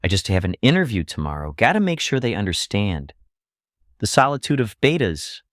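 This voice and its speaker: A man as a lively, low-voiced earnest gamer. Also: normal speed, no room sound, clean studio sound. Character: earnest male gamer excusing himself.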